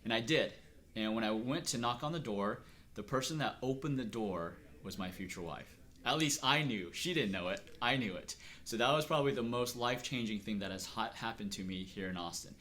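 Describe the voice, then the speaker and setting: A middle-aged man from Texas, speaking earnestly and at a measured pace as he is interviewed about his life and work. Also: mid-range voice, sharp tone. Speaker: interviewee, acupuncturist. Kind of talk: reflective personal interview.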